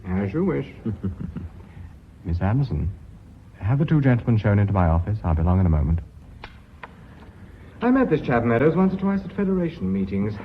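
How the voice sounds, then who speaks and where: Low-voiced, suave company director. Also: male voice, sing-song, moderate pace, pauses often. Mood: dryly composed. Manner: crisp, urbane, commanding. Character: suave, authoritative English company director, dryly courteous. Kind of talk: British radio drama acting. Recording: dull radio recording with faint noise.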